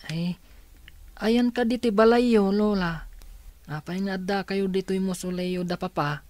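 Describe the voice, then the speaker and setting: A woman playing a kind older orphan child in a radio drama, gently coaxing an old woman to eat. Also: medium pitch, fairly even intonation, measured pace, dry recording, close to an everyday voice. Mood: caring. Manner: coaxing, caring.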